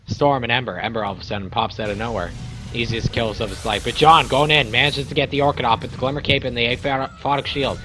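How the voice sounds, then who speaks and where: A man doing casual play-by-play commentary on a video game match, excitable and rambling, swearing now and then, his voice a little raspy. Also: medium pitch. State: voice getting raspy. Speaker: game caster. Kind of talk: amateur esports play-by-play commentary.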